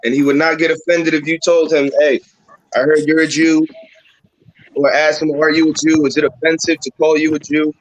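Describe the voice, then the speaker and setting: A man's voice: a little high, little pitch movement, normal speed, highs cut off. Just-woken New York man explaining firmly. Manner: explains firmly, lectures the group. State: just woke up, deep morning voice. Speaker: podcast co-host. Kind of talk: casual group chat.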